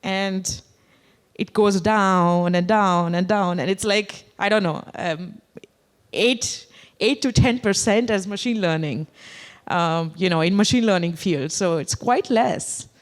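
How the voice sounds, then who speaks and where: A woman with an Indian accent speaks at a conference, pausing often with fillers and putting questions to the audience. Her voice sits at a middle pitch. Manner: hesitant, many fillers, asks the audience. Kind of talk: conference talk. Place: conference hall.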